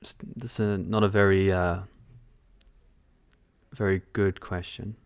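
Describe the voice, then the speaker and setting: A young man reads out viewers' questions and answers them in a loose, offhand way. His voice sits fairly low and comes across smooth and clear. He is on a headset mic in a small room, and the sound is close and dry. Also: level tone, slow pace, dry recording. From born in London. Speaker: trading livestream host. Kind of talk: casual live-stream Q&A.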